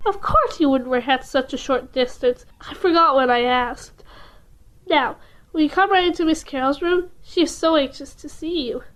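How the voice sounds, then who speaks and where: A woman playing a gentle, soothing mother in an audio drama, with formal old-fashioned phrasing. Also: high voice, moderate pace, rough recording. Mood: welcoming. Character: gentle, tender mother of a sick child.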